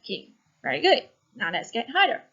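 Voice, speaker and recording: Animated higher-voiced woman teaching. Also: a little fast. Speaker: teacher leading a reading class. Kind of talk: instructional lesson with expressive story reading. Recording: dull lo-fi recording.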